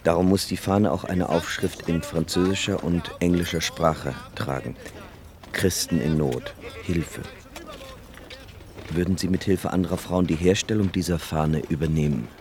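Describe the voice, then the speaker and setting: An actor playing a grave, courteous leader who makes a formal, earnest request in a radio play. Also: male voice, low-pitched, fairly even intonation, a little slow, a crowd in the background.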